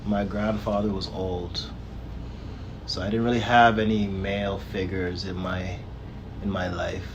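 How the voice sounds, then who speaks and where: A man speaking as a guest in a casual discussion, slow and measured, often adding "you know". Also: deep voice, little pitch movement, dull audio, a little hiss or hum.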